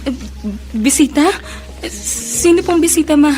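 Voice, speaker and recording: A woman's voice: high voice, measured pace, mellow. An actress with a Filipino accent playing a heartbroken young woman in a plaintive radio drama performance, with music underneath. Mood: hurt, puzzled. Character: heartbroken, sobbing young woman longing for her boyfriend.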